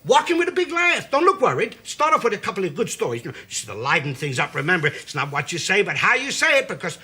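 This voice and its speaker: An actor playing an aging, volatile salesman father, husky and blustering, domineering and quick to interrupt, in a stage drama style. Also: sits high, wildly swooping pitch, bright, forceful tone, heavily acted. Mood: bossy, coaching.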